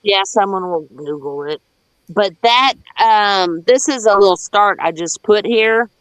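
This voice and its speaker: A woman's voice: mid-range voice, unhurried, fairly bright tone, no room sound, rough recording. A woman with a Southern US accent chatting casually in a folksy, plain-spoken way as a gardener on a livestream. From United States. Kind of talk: casual rambling chat.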